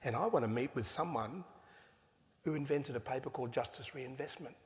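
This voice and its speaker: An older Australian man speaks on a panel with passion, throwing out rhetorical questions. His voice sits at an ordinary pitch for a man. A long reverb from a large cathedral trails after each phrase. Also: a little fast. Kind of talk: panel discussion.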